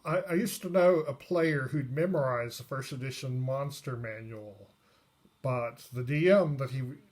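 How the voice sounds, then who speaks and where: A man giving a casual, unscripted review of a tabletop game book, pausing often mid-sentence. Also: mid-range voice, a little mellow. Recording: noisy recording with clicks.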